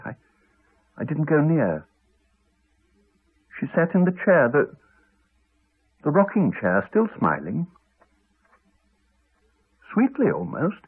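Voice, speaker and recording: A haunted man in an eighties radio play speaks through a narrow, phone-like recording. His voice is very husky, and his pitch moves a great deal as he haltingly confides, trailing off into pauses. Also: heavily acted. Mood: haunted, hushed. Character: Alex, haunted man haltingly recounting a horror. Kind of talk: 1980s radio drama acting.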